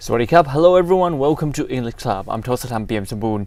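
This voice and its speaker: A man hosting an interview show in a studio, upbeat and quick with mm-hmms. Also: medium pitch, animated, darkish tone, no echo. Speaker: host.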